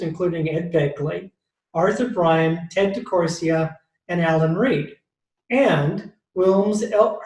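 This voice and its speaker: High-pitched male announcer in a roomy space. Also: darkish tone. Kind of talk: scripted narration introducing a radio show. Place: at home, recorded remotely. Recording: roomy, distant home recording.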